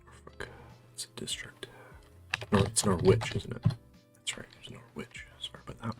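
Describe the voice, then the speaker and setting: A man's voice: normal speed, over music. A man casually thinking aloud to himself as he plays a quiz, muttering and often trailing into a near-whisper. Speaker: challenge player.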